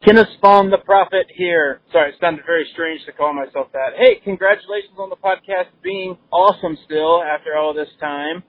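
A man's voice: on the high side, moderate pace, few pauses, no echo. A man leaving a joking voicemail for a podcast, listing playful requests. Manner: jokey, making playful requests. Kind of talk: recorded voicemail message. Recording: tinny phone line.

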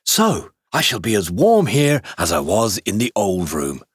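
A man reading as gleeful little girl, very husky. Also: lowish voice, huge pitch swings, measured pace, no room sound, clean studio sound, heavily acted. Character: Alice, pleased mischievous little girl thinking aloud. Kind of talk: children's storybook reading.

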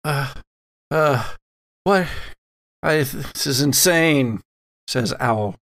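Slow, gravelly man running a game. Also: mid-range voice, expressive, a bit bright. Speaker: game master who also plays a character. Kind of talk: narrating a tabletop horror game.

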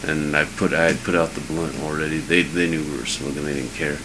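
A deep-voiced man from Southern California co-hosting a casual podcast, rambling and swearing freely with lots of restarts. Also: flat delivery, a little fast. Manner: rambling and profane, with many restarts. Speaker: podcast co-host. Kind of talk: casual chat. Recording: noisy home podcast recording.

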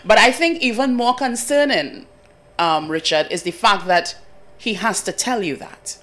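Lively woman radio co-host. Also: mid-range voice, unhurried. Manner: chatty, laughs often, lively intonation. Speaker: radio breakfast show co-host. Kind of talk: casual studio banter. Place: radio studio.

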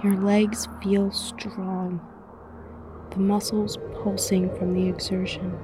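A woman narrating a scripted guided meditation in a soothing, measured way with frequent pauses, her voice soft and breathy, often near a whisper. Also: medium pitch, level tone. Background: music.

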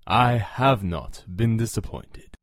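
Male actor as suave male vampire, radio drama, very slow, commanding, hypnotic, formal diction, husky, close dry sound. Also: lowish voice, expressive, no room sound. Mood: smooth, flattering.

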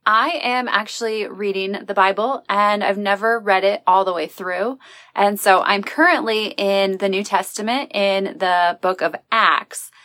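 A woman's voice: mid-range voice, steady tone, a little slow, sharp tone, dry recording. A woman hosting an inspirational podcast, talking earnestly and encouragingly about faith. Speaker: podcast host. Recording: recorded as a live video.

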